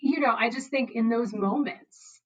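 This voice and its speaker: A woman's voice: a little high, measured pace, lo-fi sound. A woman whose first language is Bengali speaks in an interview with emphatic, passionate conviction. She builds her points with personal examples and pointed questions. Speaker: guest, diversity executive. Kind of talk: podcast interview.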